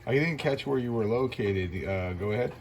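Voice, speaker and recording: A man's voice: medium pitch, moderate pace. A man talking casually as a radio operator, with clipped repeated call-outs, operator jargon and laid-back slangy address. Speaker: amateur CB radio operator and YouTuber. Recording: loud radio static and hiss.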